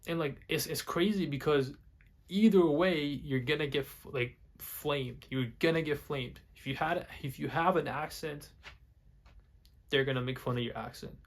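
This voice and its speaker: A man's voice: a little high, moderate pace. A young man, not a native English speaker, talking casually to the camera for a vlog, often repeating words and restarting his sentences. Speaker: vlogger.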